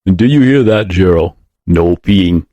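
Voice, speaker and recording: A man voicing a big, mysterious, gentle and polite tall man with a smooth, rich voice in an audiobook. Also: sits low, expressive, a little slow, no echo. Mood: amused, soothing. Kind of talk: audiobook character voice.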